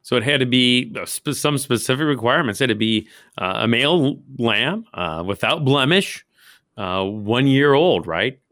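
Man with a close, dry sound, halting delivery. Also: mid-range voice, animated, a bit bright, no echo. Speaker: discussion partner in a Bible study. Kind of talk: casual Bible discussion.

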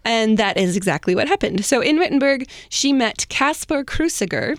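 A woman with a fairly high voice tells a history story to her co-hosts. She is informal and chatty, dropping in slangy asides. The sound is very dry, with no room on it. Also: expressive, moderate pace, dry recording. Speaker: host telling a history story.

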